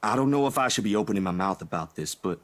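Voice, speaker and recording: A man's voice: on the low side, expressive, fast, close to an everyday voice. A man acting a laid-back, caring young man in a visual novel, speaking casually and a little hesitantly. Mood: earnest, apologetic.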